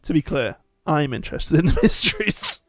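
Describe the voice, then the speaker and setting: A British man with a fairly high, highly animated voice chats casually through a game as co-host. He jokes in an easy commentary style, recorded dry with no room sound. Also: moderate pace, dry recording. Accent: British English. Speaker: let's play co-host. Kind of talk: casual jokey gaming commentary with dialogue read aloud.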